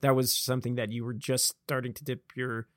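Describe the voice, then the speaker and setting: A man's voice: mid-range voice, flat delivery, a little fast, no room sound, studio quality. Young male podcast host, Californian accent, casual interviewing style, very little room sound. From Vacaville, California.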